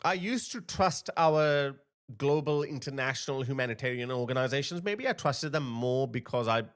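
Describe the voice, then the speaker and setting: A man's voice: medium pitch, lively intonation, normal speed, a bit bright, clear, resonant tone. A man from Pakistan hosting an opinionated commentary, talking indignantly with sarcastic asides and restarts. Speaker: commentary channel host.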